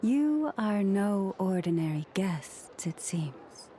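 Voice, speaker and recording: A woman playing a serene, gracious moon priestess in a video game, speaking in gentle, formal, poetic phrases. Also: mid-range voice, sing-song, slow, slight background noise. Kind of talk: video game character acting.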